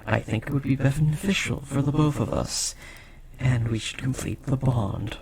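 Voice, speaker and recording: Man playing a gravelly, possessive demon. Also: medium pitch, a little mellow. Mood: intense, possessive. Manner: intense, possessive, formal speech with dramatic pauses. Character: powerful male demon bound to a sorcerer, possessive and devoted. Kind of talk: intimate scripted audio roleplay.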